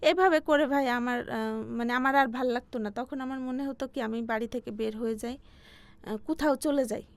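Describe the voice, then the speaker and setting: High-voiced Bangladeshi woman, halting storyteller. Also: dry recording. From Bangladesh, Faridpur region. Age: 40s. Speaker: guest telling her life story. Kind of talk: personal storytelling in an interview.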